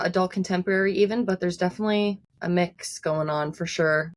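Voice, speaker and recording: A woman from Newfoundland with a softened Newfoundland accent, a singer-songwriter chatting casually as an interview guest, full of "like" and "I mean". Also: lowish voice. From Newfoundland, Canada. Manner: chatty, uses many likes and I means.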